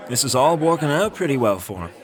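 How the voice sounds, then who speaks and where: An actor playing a cynical, coarse, world-weary male scavenger in a dark fantasy audio drama, blunt and foul-mouthed. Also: mid-range voice, very animated, fast, a crowd in the background, natural voice. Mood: jaded, bitter. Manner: blunt, profane, jaded.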